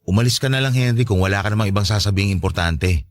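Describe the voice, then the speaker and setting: A man playing a gentle, effeminate stepfather, meek but quietly defiant, in an audio drama. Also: lowish voice, fast, no room sound, clean studio sound, natural voice. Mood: guarded, firm. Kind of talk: Tagalog audio drama dialogue.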